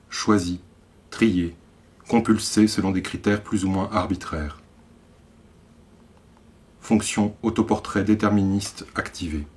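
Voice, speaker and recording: A man with an accent from France reads literary poetry in a measured way, in short phrases set apart by deliberate pauses. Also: fairly low pitch, a little mellow. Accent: French from France. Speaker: poet reading own work.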